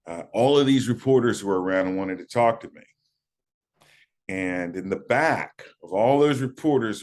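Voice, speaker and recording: A man in his fifties from the United States, recalling his playing days in a relaxed, halting interview manner with many fillers. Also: low voice. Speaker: guest, former college running back. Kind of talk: casual interview talk.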